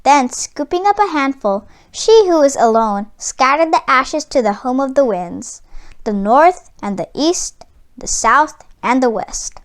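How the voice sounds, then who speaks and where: Female storybook narrator, high and animated in intonation, with an unhurried read-aloud pace for children. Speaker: storybook reader.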